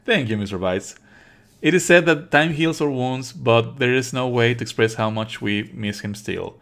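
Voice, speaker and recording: A man streaming a let's play, reading on-screen text aloud and adding sarcastic, exasperated asides. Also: medium pitch. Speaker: streamer playing a visual novel.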